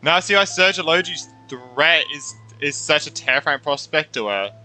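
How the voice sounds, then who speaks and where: A man's voice: on the high side, lively intonation, moderate pace, pushed and bright, faint music behind, low-quality recording. A man doing casual gameplay reaction commentary as a streamer, blunt and joking, with swearing.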